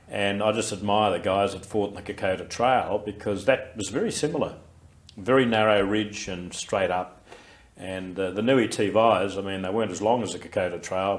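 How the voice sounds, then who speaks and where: An older Australian man with a very low, deep voice talks through his memories in an interview. He speaks in a reflective, unhurried way, often pausing on fillers and restarting his sentences. His voice is loud and carries. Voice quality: loud, carrying voice. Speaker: interviewee, war veteran. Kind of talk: recorded oral history interview.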